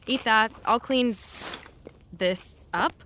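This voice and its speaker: Blunt, higher-voiced assistant. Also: female voice, slow pace, background noise. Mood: wry, bossy. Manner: wry, no-nonsense. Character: blunt, exasperated, caring female personal assistant. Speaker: actor. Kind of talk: naturalistic audio drama acting.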